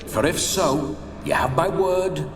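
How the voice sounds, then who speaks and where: A man's voice: a little high, takes it slowly. An actor playing a roguish, boastful, lecherous nobleman ghost with theatrical, florid speech and a ghostly reverb, in a video game. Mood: boastful, conspiratorial. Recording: long reverb, game music behind.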